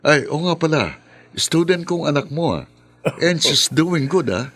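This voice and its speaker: A man playing a middle-aged schoolteacher with a measured manner in a Filipino radio drama. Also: fairly high pitch, a bit bright. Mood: friendly, curious. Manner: measured, mixes Tagalog with English.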